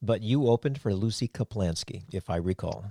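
Man, interview host, chatty and complimentary, lowish voice, dry close mic. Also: lively intonation, moderate pace, mellow, no room sound. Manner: talkative, complimentary, long run-on thoughts. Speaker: podcast host, former sound engineer. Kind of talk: casual interview chat.

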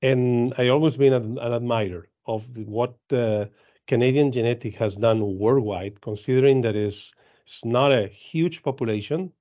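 A man in his fifties from Argentina with an Argentine Spanish accent, answering interview questions in halting English with many repeats and fillers. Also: medium pitch, muffled recording. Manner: halting, frequent repeats and "uh", laughs easily. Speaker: guest, dairy genetics business director.

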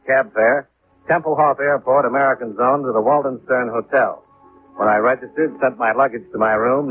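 A man's voice: mid-range voice, close to an everyday voice. An actor playing a wry, self-assured male insurance investigator in a 1950s radio drama. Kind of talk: 1950s radio detective acting with clipped first-person narration. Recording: old 1950s radio recording, muffled, faint noise.

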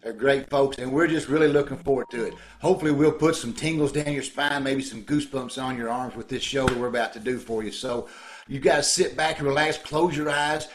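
A man co-hosting in a folksy, casual way, chatting to the audience over a video call. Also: medium pitch. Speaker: troupe member co-hosting. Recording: faintly noisy video call.

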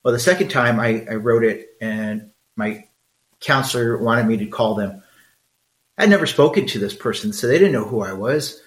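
A man's voice: medium pitch, lively intonation, moderate pace. Middle-aged Mexican-accented man in an echoey room. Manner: frank, conversational, rambling with asides. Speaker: podcast host. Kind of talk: solo podcast monologue.

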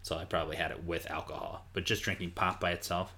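A man's voice: deep voice, level tone, fast, bright, forceful tone, a little hiss or hum. A man in his thirties from Iowa, co-hosting a podcast in casual, rambling chat with self-deprecating jokes. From Iowa, US Midwest. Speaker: podcast co-host.

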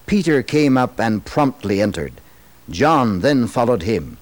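An American man narrating a dramatized religious story in a solemn, theatrical, measured old-radio style. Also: mid-range voice, big pitch swings, dry recording. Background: music.

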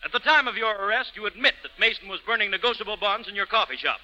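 An actor playing a relentless, accusing prosecutor in a 1930s radio drama, stern and hammering. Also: male voice, sits high, fast talker, bright, forceful tone, poor audio. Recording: old 1930s radio broadcast recording.

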